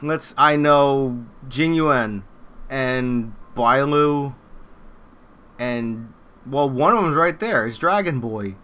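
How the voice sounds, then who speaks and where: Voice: medium pitch, slow, a bit bright. A game streamer chatting casually while reacting on a livestream, foul-mouthed and joking.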